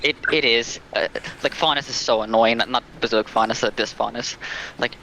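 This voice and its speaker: A man chatting casually as a livestream guest, explaining things in a halting, rambling way. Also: medium pitch, steady tone, creaky, bright, forceful tone. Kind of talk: casual chat, recounting a plot. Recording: dull lo-fi call, faint noise.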